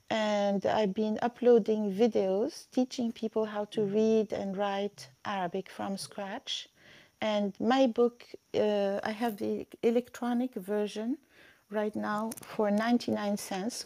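A woman's voice: medium pitch, steady tone, slow pace, gravelly, a little mellow, dry recording. A woman hosting a casual live chat, a friendly and patient Arabic teacher who helps guests find their words.

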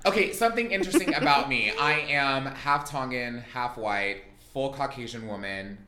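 A man's voice: medium pitch, moderate pace, a crowd in the background. A man in his thirties, a podcast guest, talking in a joking, playful way with theatrical asides. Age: 30s. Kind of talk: casual podcast chat. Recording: echoey room.

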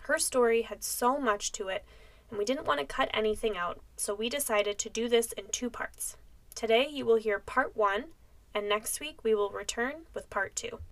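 A woman's voice: fairly high pitch, moderate pace, a bit bright. A young American woman hosting a podcast, reading scripted narration. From United States. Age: young adult. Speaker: podcast host.

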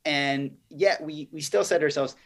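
A man's voice: on the high side, normal speed. Articulate male guest explaining things. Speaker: podcast guest, company chief executive. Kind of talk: conversational interview answers.

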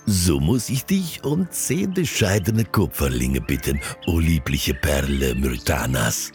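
Ein Mann spielt in einem Fantasy-Hörspiel einen höfischen Wahrsager, der blumig, ehrfürchtig und mit gedehnten Worten schmeichelt. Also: male voice, rumbling low, faint music behind, heavily acted. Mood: courtly flattering. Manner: ornate, reverent flattery with drawn-out words. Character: flowery, courtly male desert fortune-teller and tobacco seller. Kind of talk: fantasy audio drama acting.

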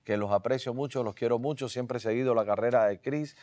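A man hosting a casual interview show, speaking conversationally with halting, hesitant phrasing and repeated words. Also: mid-range voice, darkish tone, no echo.

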